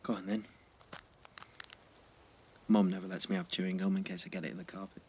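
A man playing a sensible, gentle teenage schoolboy, hesitant and understated, in a naturalistic audio drama. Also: sits low, flat delivery, brisk, husky. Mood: shy, amused.

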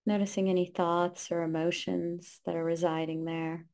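A woman leading a guided meditation, giving calm, gentle instructions in short, spaced phrases. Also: lowish voice, normal speed.

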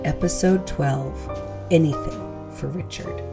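Low, creaky woman announcer. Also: takes it slowly, loud music behind the voice. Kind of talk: scripted podcast intro.

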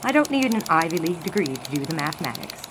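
Casting: female actor as sardonic 1920s newsroom secretary, lowish voice, dry and efficient, audio drama with noisy office behind. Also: big pitch swings, quick pace. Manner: dry, clipped, sarcastic, efficient. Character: brisk, sardonic 1920s newspaper office secretary, long-suffering. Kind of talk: scripted period audio drama dialogue.

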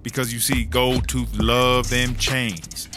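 A man's voice: mid-range voice, flat delivery, takes it slowly, close to an everyday voice. A man playing a streetwise, long-serving woman prisoner who tells stories in slangy, sweary talk for a comedy audio drama. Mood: animated, gossipy. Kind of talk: casual first-person storytelling in a comedy audio drama. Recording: music under the voice.

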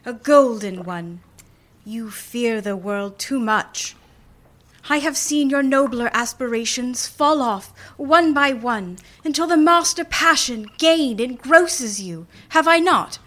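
Woman acting sorrowful young fiancée, fairly high voice. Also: expressive, slow pace, fairly bright tone. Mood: hurt reproach. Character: sorrowful, resolute young fiancée breaking off engagement. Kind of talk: live community radio drama acting.

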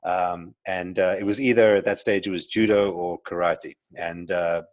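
A man's voice: low-pitched, steady tone, moderate pace, no room sound. A middle-aged man with a South African accent, a podcast guest, talking reflectively with many restarts and fillers. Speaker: guest, entrepreneur. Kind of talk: casual interview conversation.

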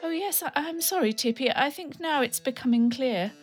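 Prim young society lady, high and fluttery, voiced by a woman. Faint music behind. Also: animated, normal speed. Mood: flustered.

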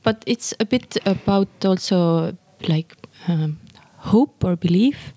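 Woman, Estonian accent, husky mid-range voice, casual conversational delivery, very dry close sound. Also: fairly low pitch, slow pace, dark tone, no echo. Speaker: performance maker, guest.

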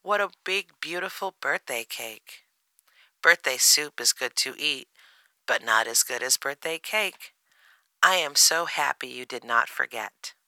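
A storybook reader as an eager, bright little bear cub. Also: mid-range voice, animated, measured pace, no echo, heavily acted. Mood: delighted. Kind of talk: children's storybook character reading.

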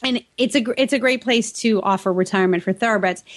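A woman's voice: fairly high pitch, quick pace. An American woman co-hosting a podcast in a casual, chatty and playful way. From New England, United States. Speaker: podcast co-host. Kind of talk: casual co-host banter and chat.

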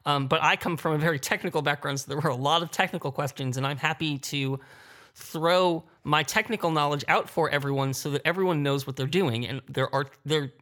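A man's voice: fairly high pitch, fairly quick, pushed and bright. A man speaking as a creative panelist in a roundtable discussion. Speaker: panelist, audio drama creator.

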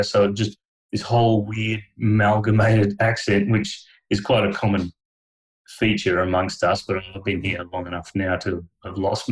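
Middle-aged guy with a real low voice, rambling and candid, lots of you-knows. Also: low-quality recording. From Australia. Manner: frank, meandering, with frequent fillers and short laughs. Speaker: podcast guest. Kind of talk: candid conversational storytelling.

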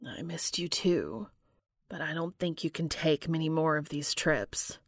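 A woman's voice: low-pitched, expressive, unhurried, no echo, studio quality, heavily acted. Grave, worried male doctor read by a woman. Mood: worried, firm. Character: worried, weary, caring man, a doctor. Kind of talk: audiobook character dialogue.

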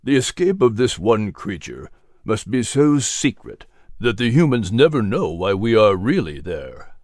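A narrator voicing a calm, wise and kindly male guide in a children's audiobook. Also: medium pitch, unhurried, no echo, clean studio sound. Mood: solemn.

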